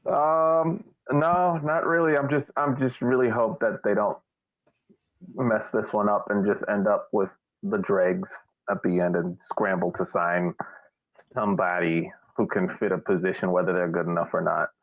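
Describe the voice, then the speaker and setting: A man's voice: on the low side, fairly even intonation, moderate pace, no echo. A man co-hosting a casual sports fan podcast, heard over a tinny, narrow-band line. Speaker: podcast co-host.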